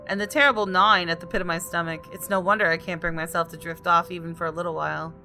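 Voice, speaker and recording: A woman gaming host reading visual novel narration aloud in an expressive storytelling style. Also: fairly low pitch, fast. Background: background music.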